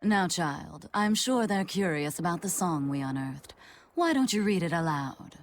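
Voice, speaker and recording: Unhurried, low-voiced old scholar lady. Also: animated, slight background noise. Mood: amused, knowing. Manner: theatrical, musing, drawn-out. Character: elderly scholarly woman teacher, teasing and absorbed. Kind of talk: video game cutscene acting.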